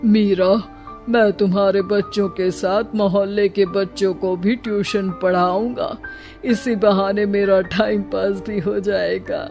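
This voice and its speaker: A woman voicing a mild, gently fussing elderly father in a cartoon. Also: medium pitch, fairly even intonation, measured pace, creaky, faint music behind. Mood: supportive.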